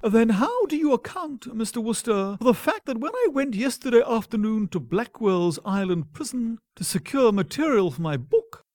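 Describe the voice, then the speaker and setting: Man voicing haughty upper-class lady, high swooping voice, plummy, indignant, comic audiobook. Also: big pitch swings, moderate pace, hardly any pauses, a little mellow, no echo, studio quality.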